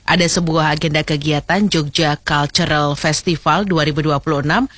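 A woman's voice: sits low, fairly even intonation, normal speed, fairly bright tone, no echo. A woman hosting a radio talk programme, summing up and addressing listeners from a studio microphone. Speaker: radio host.

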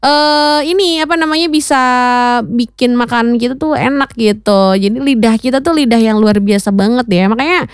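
A woman's voice: on the high side, normal speed, smooth, no room sound, clean studio sound. A woman presenting casually as a radio host, chatting to listeners with frequent tag questions.

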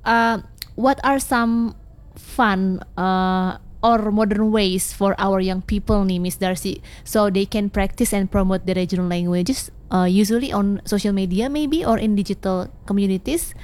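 A woman's voice: medium pitch, measured pace, darkish tone. A woman with an Indonesian accent hosting a radio chat, mixing English with Indonesian, with lots of fillers and laughs. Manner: hesitant, many fillers and frequent laughs. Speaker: radio show host.

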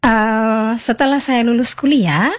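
A woman in her twenties from West Sumba with an eastern Indonesian accent, answering as a radio interview guest in a polite, measured way. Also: a little high, dry recording, studio quality. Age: 20s. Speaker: school principal, interview guest. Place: radio studio.

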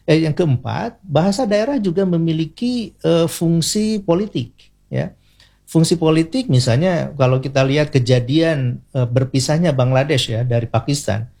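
An Indonesian man speaks as an expert guest in an interview. His voice is slightly high, with a soft, mellow tone and plenty of gravelly creak. He explains in a teacherly way, setting out points and adding small tag words as he goes. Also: normal speed. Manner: didactic, enumerating points, often adds short tag particles.

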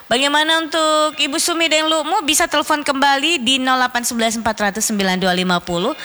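Bright-voiced woman radio host. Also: sits high, slight background noise. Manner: chatty, teasing, quick to laugh. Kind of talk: call-in radio chat.

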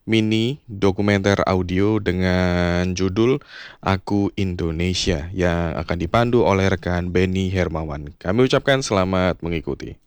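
A male radio announcer with a very deep, smooth, resonant voice addresses listeners in a polished, formal manner. His studio sound is close and dry. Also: unhurried, no echo.

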